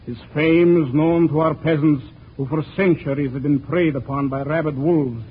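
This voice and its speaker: A man's voice: a little high, fairly even intonation, moderate pace, husky. A man playing a solemn foreign envoy addressing an assembly with formal oratory in an old-time radio drama. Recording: tinny old radio recording, faint noise.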